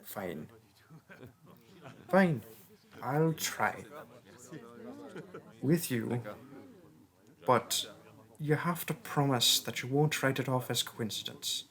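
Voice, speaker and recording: A man plays a young male seer student who is friendly but worn down by a curse no one takes seriously. He speaks slowly and earnestly, rambling through his frustrations at a mid pitch. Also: expressive, a bit bright, exaggerated voice. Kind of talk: audio roleplay.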